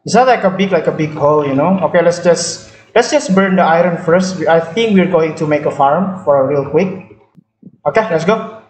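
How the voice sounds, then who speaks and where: A man chats loosely while he plays, restarting phrases and repeating himself as he thinks aloud. His voice sits a bit high, and the room around him gives it a noticeable echo. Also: animated, a little fast. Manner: rambling, filler-heavy, repeats words. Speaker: gaming channel host. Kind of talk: casual gameplay chat.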